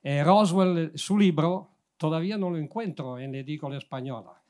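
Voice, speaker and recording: An older man with a strong Italian accent and a fairly high voice asks a long, winding question from an outdoor crowd. He holds a handheld mic and keeps stopping to hunt for the right words. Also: moderate pace, dark tone. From Italy. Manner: rambling, searching for Spanish words. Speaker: audience member asking a question. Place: outdoor audience seating.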